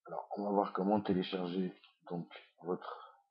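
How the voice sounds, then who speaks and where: A man's voice: sits low, flat delivery, moderate pace, breathy edge, dull audio. A man with a head cold, giving a hesitant screencast tutorial as an online course instructor, with many fillers.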